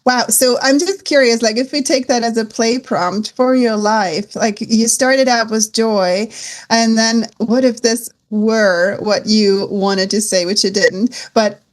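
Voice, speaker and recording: A woman's voice: a little high, fairly even intonation, measured pace. A woman with a Swiss accent hosting a wellness interview, with an enthusiastic, effusive manner. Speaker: host.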